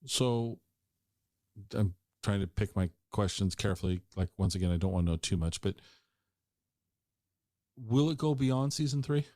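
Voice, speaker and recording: A man hosting an interview podcast, asking halting questions and restarting them often. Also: lowish voice, warm tone, dry recording, clean studio sound. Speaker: podcast host. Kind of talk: casual interview.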